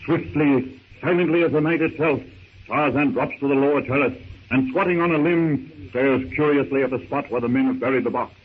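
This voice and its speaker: A man's voice: medium pitch, fairly quick, phone-quality sound, natural voice. A man narrating a 1930s radio adventure serial in a theatrical, suspenseful, declamatory manner. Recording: thin, noisy 1930s radio recording.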